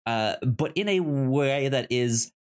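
A man hosting a podcast in a casual, chatty style, sometimes halting and repeating words as he searches for phrasing. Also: mid-range voice, animated. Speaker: podcast host.

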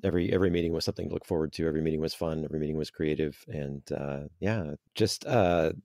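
A man with a low voice talks casually as an interview guest. The sound is close and dry, and he rambles a bit, circling back over his words as he thinks. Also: fast talker, darkish tone, no echo. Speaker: interview guest, voice actor and audio drama creator.

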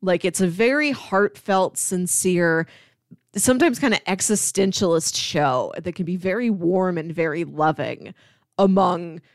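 A woman with a lowish voice co-hosts a casual podcast. She explains things articulately and with enthusiasm, recorded close in a dry space. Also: unhurried, dry recording. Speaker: podcast co-host. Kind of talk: casual chat about sitcoms.

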